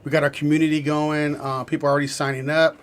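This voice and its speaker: A man's voice: on the high side, flat delivery, fast. A man hosting a live podcast, talking loosely with restarts and filler words. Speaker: podcast host. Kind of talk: casual live-stream chat.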